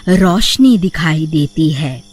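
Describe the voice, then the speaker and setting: A woman reading scripted narration for an animated moral story. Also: deep voice, slow pace.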